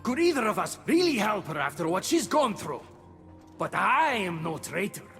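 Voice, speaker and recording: An actor voicing a quick-witted, loyal messenger god in a mythic video game. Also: male voice, high voice, very animated, gravelly, a bit bright, heavily acted. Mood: indignant. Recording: long reverb, faint music behind.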